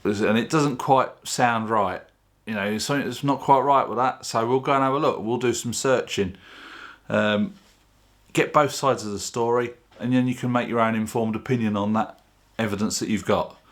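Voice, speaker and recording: A man's voice: mid-range voice, moderate pace. A man presenting his own video in a chatty, informal, plain-spoken way, explaining things casually to camera. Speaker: video channel host.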